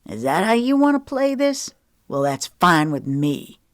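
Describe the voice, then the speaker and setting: A woman's voice: medium pitch, very animated, unhurried, a little mellow, no echo, voice pushed up, heavily acted. Woman playing a smug sneery guy, dry close audiobook voice. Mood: sneering. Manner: smooth and sneering. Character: smug, pushy young man, sly and leering. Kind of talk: audiobook dialogue.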